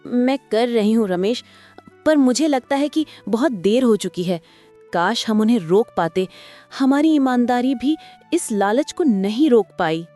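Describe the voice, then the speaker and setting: A woman playing a sorrowful, honest poor wife, regretful, in an animated moral story. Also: on the high side, measured pace, soft background music.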